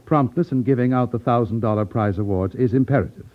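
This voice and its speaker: An actor playing an elderly, over-polite racketeer boss with veiled menace in a 1950s radio drama, fussy and condescending. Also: male voice, medium pitch, quick pace, natural voice. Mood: brisk, businesslike. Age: older. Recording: tinny, narrow-band vintage radio recording.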